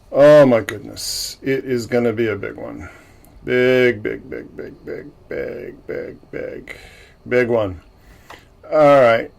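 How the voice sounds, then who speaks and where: A man hosting a livestream in casual chat, a self-described loudmouth with a big loud voice who jokes and greets viewers by name. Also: medium pitch, gravelly. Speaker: livestream host.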